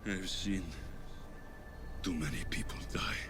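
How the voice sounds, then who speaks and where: An actor playing an ancient, weary and wise Greek mercenary in a video game cutscene, speaking in a measured, solemn way. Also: male voice, low voice, gravelly. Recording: loud music under the dialogue.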